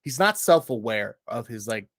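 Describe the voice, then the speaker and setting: A man's voice: medium pitch, very animated, moderate pace, a bit bright, no echo. A man chats casually as a podcast guest, blunt and slangy. He rambles through his points with plenty of filler words in a mid-range voice. The recording is close, with little room sound. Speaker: podcast guest reviewing a TV show.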